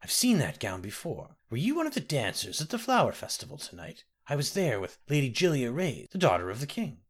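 A man's voice: medium pitch, wildly swooping pitch, brisk, slightly rough. A man reading the part of a kindly, forgetful old woman healer who talks in a chatty, doting way. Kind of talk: audiobook character reading.

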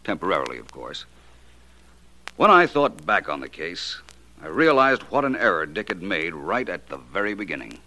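An actor playing a confident American detective in 1940s radio style, speaking deliberately with self-assured, dramatic emphasis. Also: male voice, deep voice, sing-song, pushed and bright, exaggerated voice, low-quality recording. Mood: assured, explaining. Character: confident, sharp-witted male detective explaining a murder case.

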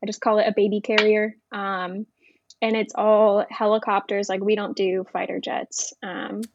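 A woman's voice: mid-range voice, little pitch movement, moderate pace, dry recording, lo-fi sound. A woman speaking casually as an interview guest, explaining things in an informal way. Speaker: podcast guest, Navy veteran.

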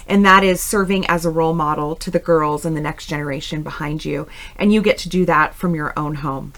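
Encouraging woman interviewer, slightly low voice. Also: brisk, a bit bright. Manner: encouraging, informal. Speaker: interview host. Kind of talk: conversational interview.

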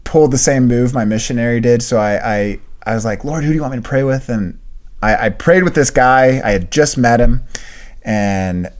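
A man's voice: medium pitch, normal speed. A young man in his twenties, a podcast co-host, telling a personal story earnestly with lots of filler words. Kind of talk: casual chat.

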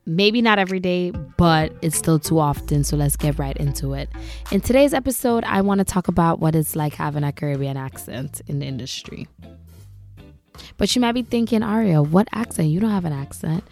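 A woman's voice: low voice, animated, brisk, darkish tone, soft background music. A young woman from Grenada with a Grenadian accent, hosting a casual monologue and talking candidly, a little exasperated. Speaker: podcast host.

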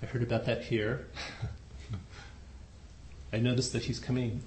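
A soft-spoken man giving a dharma talk to a group, unhurried and hesitant, with many fillers. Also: medium pitch, level tone, slight background noise. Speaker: dharma teacher. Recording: echoey room recording.

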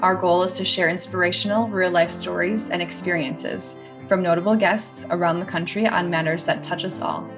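A woman's voice: on the low side, steady tone, moderate pace, over music. A woman speaking as a podcast host, introducing and interviewing a guest. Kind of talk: conversational interview with a scripted introduction.

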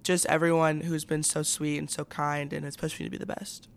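A young woman in her late teens gives a casual interview. Her voice has a noticeable fry but stays clean and resonant, with fairly flat intonation. She talks in youthful, filler-heavy phrases. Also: deep voice, fairly quick. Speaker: student athlete guest.

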